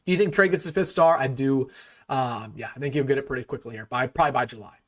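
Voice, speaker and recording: Fast-talking opinionated young man streaming. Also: mid-range voice. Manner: rapid, opinionated, frequent restarts and fillers. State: losing his voice a little. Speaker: live-stream host. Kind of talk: casual live-stream sports talk.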